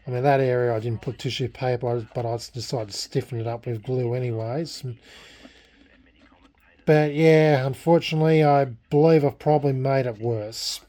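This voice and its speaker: A man's voice: medium pitch, fairly even intonation, normal speed, dark tone. An Australian man chatting casually in a vlog, rambling and self-deprecating as he talks in a blunt way. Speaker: vlogger restoring a vintage radio.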